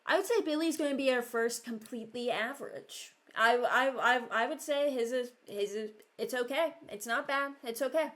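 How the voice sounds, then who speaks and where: A woman's voice: on the high side, normal speed, few pauses, fairly bright tone. A woman giving casual, unscripted commentary as a video creator, hesitant and thinking aloud. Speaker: video creator ranking game voice lines.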